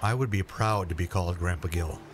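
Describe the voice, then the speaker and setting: An actor playing a gentle, patient retired grandfather figure who likes fishing, in a radio play. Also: sits low, a little fast, lightly acted. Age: older.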